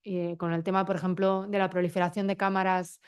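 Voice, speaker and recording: Smooth-voiced woman panelist. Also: on the low side. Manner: animated, explanatory, quick asides and brief laughs. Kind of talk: round-table discussion.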